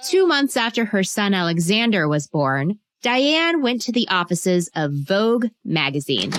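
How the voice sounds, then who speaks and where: A woman reads a scripted biographical story for children, phrasing it clearly like a storyteller with conversational asides. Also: mid-range voice, fairly bright tone. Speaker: narrator.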